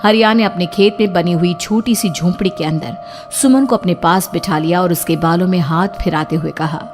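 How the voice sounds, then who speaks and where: A woman's voice: fairly low pitch, fairly even intonation, moderate pace. A woman narrator reading a scripted horror story in a dramatic style. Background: music.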